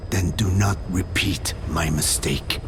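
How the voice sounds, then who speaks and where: An actor playing a frail, solemn elderly scientist in a video game, with a creaky, breathy voice and a halting delivery. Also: male voice, low voice. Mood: grave, uneasy. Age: older. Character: elderly male scientist, grave, frail, moralizing sage. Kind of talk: dramatic game voice acting. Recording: clearly noisy audio-tape style recording.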